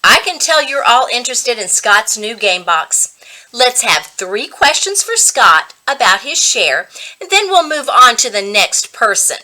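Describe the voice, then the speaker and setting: A woman's voice: a little high, very animated, measured pace, bright, forceful tone, full character voice, rough recording. A woman voicing a firm but kindly female fourth-grade teacher in a children's book read-aloud. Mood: encouraging. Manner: firm, instructive.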